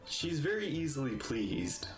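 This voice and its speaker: Casting: casual game streamer, expressive intonation, roomy sound with faint game music behind. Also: mid-range voice, unhurried. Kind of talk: casual live commentary with read-along reactions.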